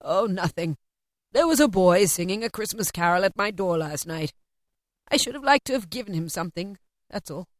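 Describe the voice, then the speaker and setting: Woman acting out an excitable old man miser. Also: on the low side, moderate pace, no room sound, studio quality, lightly acted. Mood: regretful. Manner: exclaiming, stammering, between laughing and crying.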